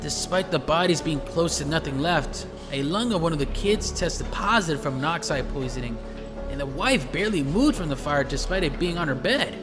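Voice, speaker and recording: Guy doing chatty sarcastic cop sidekick, high pitched male voice, echoey hall sound, music behind. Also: lively intonation, moderate pace, lightly acted. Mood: earnest briefing. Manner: talkative, sardonic, eager. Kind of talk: audio drama dialogue. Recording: reverberant hall, music underneath.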